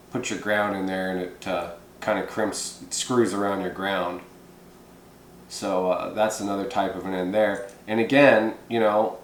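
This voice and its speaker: A man giving an informal, unscripted hands-on tutorial, explaining things in a rambling, hesitant way with frequent pauses. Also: on the low side. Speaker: presenter of a CB radio how-to video. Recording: echoey room with background noise.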